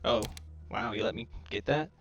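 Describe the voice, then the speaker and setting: A man's voice: moderate pace, fairly bright tone, dull audio. A man with robotic microphone distortion, giving casual gameplay commentary and thinking aloud in short, clipped remarks. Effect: robotic-sounding microphone distortion. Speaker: gamer commentating own match. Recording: robotic, glitchy microphone sound. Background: music.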